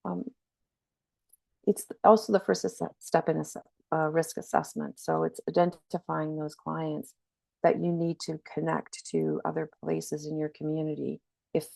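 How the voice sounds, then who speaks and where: A woman's voice: sits low, steady tone, a little slow, creaky, mellow, dry recording. A woman with a slight cold, giving a webinar training presentation in an earnest, explanatory way. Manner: earnest, explanatory, frequent restarts. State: has a cold, slightly scratchy.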